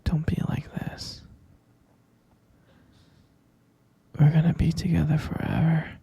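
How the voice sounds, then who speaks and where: A man playing a tender, possessive, deluded captor in an intimate audio roleplay, coaxing in a breathy, gravelly whisper with murmured endearments. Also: a little high, monotone, moderate pace, warm tone, no echo, exaggerated voice. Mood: soothing, obsessive. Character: obsessive male yandere captor, tender, possessive, deluded lover.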